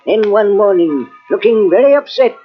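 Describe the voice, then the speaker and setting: A man's voice: high-pitched, animated, moderate pace. A man narrating a scripted mystery in the manner of old-time radio. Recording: dull old radio recording with faint music.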